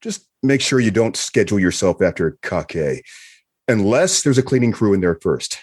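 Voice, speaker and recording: A man acting a calm, benevolent space admiral with a husky voice and a reassuring manner in an audio drama. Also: lowish voice. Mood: reassuring, congratulatory. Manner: measured, reassuring.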